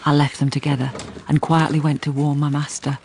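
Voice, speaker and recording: A gravelly, low housekeeper narrator. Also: female voice, little pitch movement, a little fast, darkish tone, a little hiss or hum. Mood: grave, urgent. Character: sensible female housekeeper narrating a gothic tale. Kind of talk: dramatised first-person narration.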